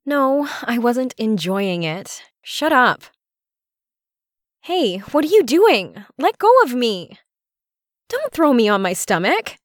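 Slow-talking, coy girlfriend played by a woman. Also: sits low, darkish tone, no room sound, studio quality. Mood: flustered protest.

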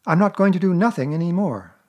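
A man's voice: high-pitched, animated, fast talker, highs cut off, pitched above the performer's own voice. Earnest little boy, as a man puts him on.

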